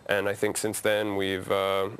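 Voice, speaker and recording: A man's voice: low voice, completely flat delivery, unhurried, a bit bright, smooth. A man from a media collective talking casually in an interview, with frequent fillers and restarts. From grew up in Asia, Nepal. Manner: hesitant, many fillers and restarts.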